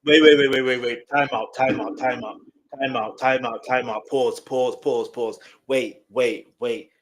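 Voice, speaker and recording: This is a man whose voice has a slight nasal edge. His pitch sits in the ordinary middle for a man. He gives relaxed, conversational reaction commentary, repeats words in bursts and keeps asking the listener whether they follow. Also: unhurried. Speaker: music reaction host. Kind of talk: casual music reaction commentary.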